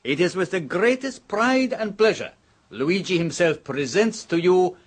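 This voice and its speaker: Luigi, a proud chef. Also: male voice, sits high, animated, a little slow, slightly rough, exaggerated voice. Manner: grandiose, theatrical. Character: proud Italian chef Luigi, touchy about lineage. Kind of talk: 1970s radio drama acting. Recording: old radio recording.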